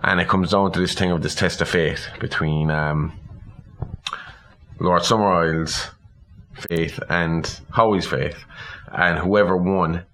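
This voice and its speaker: A man's voice: deep voice, fairly even intonation, slow, fairly bright tone, low-quality recording. A man co-hosting a podcast, recapping a film story in an informal, casual way. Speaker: podcast co-host. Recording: clear background room noise.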